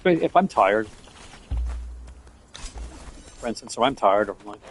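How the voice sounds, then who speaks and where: A man's voice: lowish voice, lively intonation, fast talker, a little hiss or hum. A man with a Newfoundland accent, chatting casually and offhandedly as he streams a game. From Newfoundland, Canada. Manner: rambling, offhand, talks while playing. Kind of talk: casual gameplay live-stream chat.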